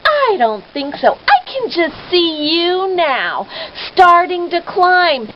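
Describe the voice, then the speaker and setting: Storybook villain: sneering male bully cousin, played by a woman, high-pitched, wildly swinging delivery, mocking. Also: very animated, slow, exaggerated voice. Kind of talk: children's storybook character voice. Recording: dull noisy lo-fi home recording.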